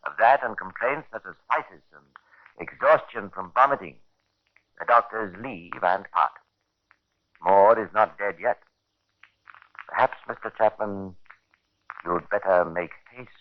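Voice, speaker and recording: An actor playing a sardonic, macabre storyteller, narrating a 1950s radio crime drama with ironic relish and deliberate phrasing. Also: male voice, on the low side, lightly acted. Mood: sardonic, ominous. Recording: dull vintage radio recording.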